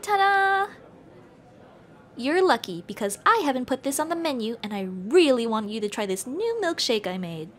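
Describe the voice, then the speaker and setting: A woman's voice: high voice, very animated, moderate pace, fairly bright tone. A young woman playing an affectionate, playfully bossy café-owner girlfriend in an ASMR roleplay, speaking expressively to the listener as her partner. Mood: playful, excited. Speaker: roleplay voice actor.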